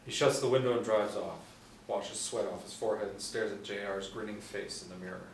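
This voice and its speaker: A man's voice: lowish voice, fairly even intonation, brisk. A man in his forties reading scripted stage directions as a narrator. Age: 40s. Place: small room indoors. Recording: roomy, distant mic, faint noise.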